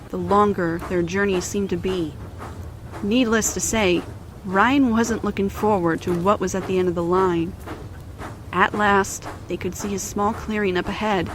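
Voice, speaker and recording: A woman's voice: mid-range voice, background noise. A woman narrator reading a scripted children's story in storybook style.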